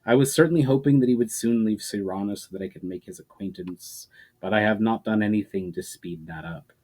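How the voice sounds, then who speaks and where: A man voicing a powerful, coolly patient adversary with measured, condescending menace in a fantasy story reading. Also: lowish voice, darkish tone, lightly acted. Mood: threatening. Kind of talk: dramatic fantasy audiobook reading.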